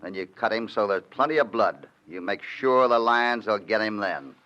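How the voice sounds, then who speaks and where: An actor playing a calm, commanding cowboy hero in 1940s radio acting style. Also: male voice, on the low side, normal speed. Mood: defiant. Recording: muffled old radio recording with hiss.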